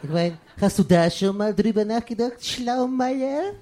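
Slow, gloating mad-scientist villain. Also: male voice, high-pitched, expressive, mellow, a little hiss or hum. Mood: boastful, irritated. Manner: stammering repeats, cackling, theatrical outbursts. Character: gleefully evil, easily irritated mad-scientist villain, boastful but silly. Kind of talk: comic radio play acting.

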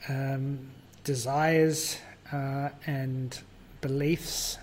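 A man's voice: on the high side, slight background noise. A man with an Australian accent, a guest in an interview, explaining his business at length with frequent fillers and light laughs. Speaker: guest, virtual events business owner. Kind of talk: conversational interview.

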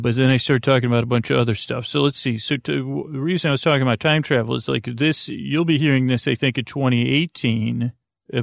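Male bedtime podcast host, mid-pitched and creaky, meandering and self-interrupting delivery, recorded dry. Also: no room sound. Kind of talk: rambling bedtime podcast monologue.

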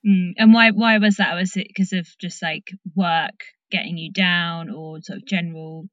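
A woman's voice: on the low side, fairly even intonation, normal speed, fairly bright tone, no echo, lo-fi sound. A British woman hosting a casual interview, informal and encouraging, feeling her way through questions. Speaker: podcast host interviewing.

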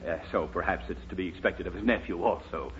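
A man's voice: low voice, fast talker, close to an everyday voice. An actor playing an earnest, flustered lawyer in a 1940s radio comedy, formal and hesitant in his pleading. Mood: formal, patient. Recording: muffled 1940s radio recording, heavy noise.

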